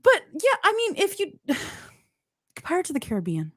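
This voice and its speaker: A woman hosting a casual chat, with a slightly squeaky voice that laughs and reacts readily. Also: normal speed, no room sound, clean studio sound. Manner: giggly, animated reactions. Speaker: host.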